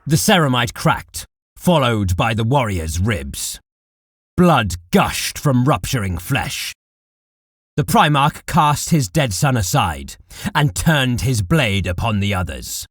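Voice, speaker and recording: A man narrating dark war fiction as a scripted audiobook, in a deliberate, dramatic manner. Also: mid-range voice, big pitch swings, breathy edge, no echo, studio quality.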